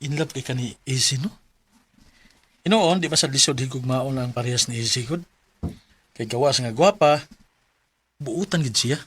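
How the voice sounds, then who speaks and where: An actor playing a chummy, admiring male friend in a radio drama, with a Cebuano accent and a husky voice. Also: medium pitch, normal speed, long pauses, fairly bright tone. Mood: friendly, admiring.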